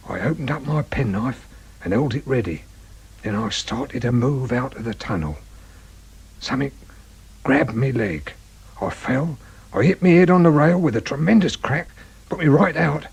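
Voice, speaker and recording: An actor playing a muddled, frightened suspect in a radio drama, halting and pleading, with an English accent and a husky, rough voice. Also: male voice, mid-range voice, darkish tone, heavily acted, rough recording. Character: frightened, muddled male petty suspect confessing to a killing.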